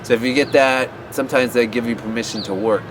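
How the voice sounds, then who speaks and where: A man's voice: mid-range voice, steady tone, fast. A man giving offhand advice about teaching abroad. He rambles and often restarts with fillers. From USA. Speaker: English teaching advice vlogger. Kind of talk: unscripted advice talk to camera. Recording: outdoor street noise.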